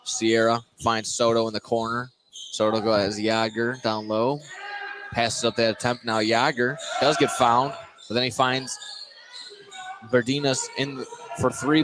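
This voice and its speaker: A man doing live play-by-play radio commentary of a basketball game, often repeating and restarting phrases as he follows the action. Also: medium pitch. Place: indoor gymnasium during a basketball game. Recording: noisy live gym broadcast. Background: loud gym ambience, bouncing basketball.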